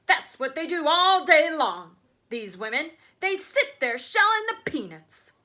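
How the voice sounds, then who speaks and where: A woman voicing a boastful, indulgent rich father in a children's storybook, talking in loud, emphatic, folksy brags. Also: sits high, very animated, measured pace, voice pushed up, heavily acted.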